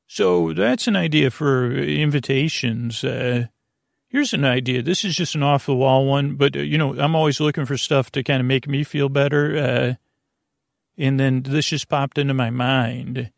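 A man hosting a bedtime podcast in a rambling monologue, halting and often stumbling over his words as he wanders between thoughts. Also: mid-range voice, vocal fry, no room sound, clean studio sound. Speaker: podcast host.

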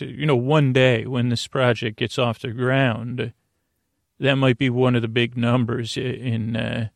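A man with a creaky voice hosting a bedtime podcast in a soothing, meandering monologue, halting and repeating himself as he drifts into tangents. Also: medium pitch, no echo, studio quality. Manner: soothing, lulling. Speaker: host of a bedtime story podcast. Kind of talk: rambling sleep-podcast monologue.

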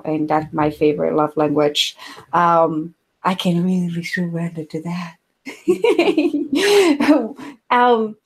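A woman from Ecuador, a somatic healer hosting a podcast conversation, talking in a searching, rambling way with many fillers. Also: on the low side. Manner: searching, rambling, filler-heavy, often laughs.

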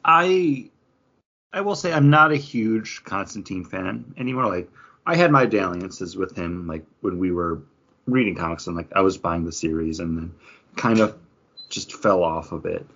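Low husky man chatting casually. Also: lively intonation, normal speed, a bit bright, lo-fi sound. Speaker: podcast co-host reviewing comics. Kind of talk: casual chat.

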